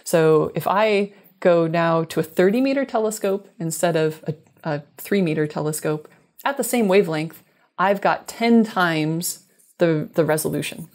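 A woman's voice: on the low side, normal speed, a little mellow. A woman in her thirties speaking as a scientist guest in an interview, explaining technical things in a thoughtful, careful way. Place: small room indoors, video call. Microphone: wired earbuds headset on video call.

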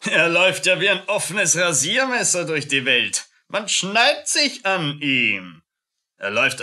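An actor playing a pompous, blustering army captain with a teasing, taunting manner in a radio play. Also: male voice, a little high, pushed and bright, heavily acted. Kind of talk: radio drama acting.

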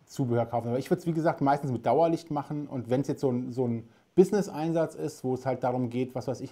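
A man's voice: mid-range voice, warm tone. A man in his thirties hosting a casual live stream from a studio, chatty and talking straight to the viewers. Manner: friendly, chatty, addresses viewers directly. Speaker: host. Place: studio with dark backdrop.

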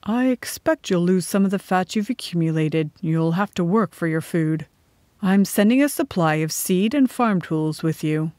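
A woman voicing a calm, victorious rebel king in a storybook, speaking in a measured, stern and matter-of-fact way. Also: fairly low pitch, no echo, lightly acted. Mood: calm, firm.

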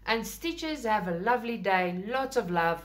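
A woman's voice: mid-range voice, animated, normal speed, slight background noise. South African woman, Afrikaans first language, enthusiastic rambling craft vlog host, casual unboxing chat. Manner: chatty, enthusiastic, rambling with self-corrections. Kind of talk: casual unboxing talk to camera. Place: indoors at a table, filming overhead.

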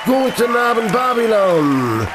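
A man's voice: extremely high pitch, big pitch swings, slow pace, loud music behind the voice, over a noisy crowd, exaggerated voice. A man playing a grandiose, self-satisfied aristocratic financier in an audio drama, presenting with pompous ceremony. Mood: pompous, proud. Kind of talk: German audio drama acting.

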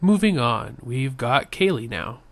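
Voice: mid-range voice, expressive, measured pace, gravelly, no room sound. An amateur voice actor giving casual, unassuming introductions to an audition.

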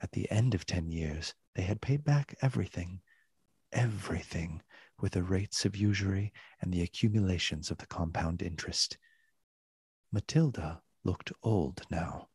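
A male storyteller narrating a gothic radio drama. His lowish voice is very husky and gravelly. He takes his time, theatrically savoring the words. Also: normal speed, darkish tone, dry recording, full character voice. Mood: somber.

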